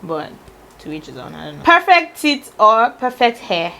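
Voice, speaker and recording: A woman hosting a relaxed video, reading question cards and musing aloud in an easy, teasing way. Her voice sits fairly high. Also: a little hiss or hum. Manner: playful, teasing, drawn-out musing. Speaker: vlogger asking tag questions. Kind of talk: casual chat.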